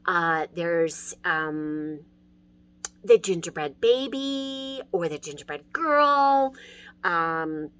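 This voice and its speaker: A woman's voice: on the low side, expressive, drawn-out words, fairly bright tone, smooth. A middle-aged woman casually and enthusiastically recommending books in an informal style, as a children's librarian would. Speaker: children's librarian hosting book talks.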